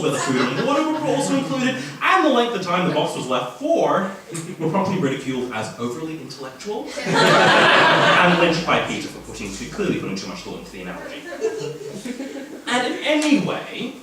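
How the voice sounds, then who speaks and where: Echoey, distant Australian man reading aloud, animated. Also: on the high side. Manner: wry, jokey, chuckling asides. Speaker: author reading at a literary event. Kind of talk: live reading of humorous fiction with asides. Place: indoor event venue, filmed reading. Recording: echoey room, distant mic, faint noise.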